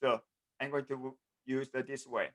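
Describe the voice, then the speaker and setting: A middle-aged man from South Korea, Korean by native language, teaching an online programming class in English with a Korean accent. He talks in halting bits, with many fillers and an often repeated "the". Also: medium pitch, little pitch movement, fairly bright tone. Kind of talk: online class lecture over video call. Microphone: computer mic on a video call.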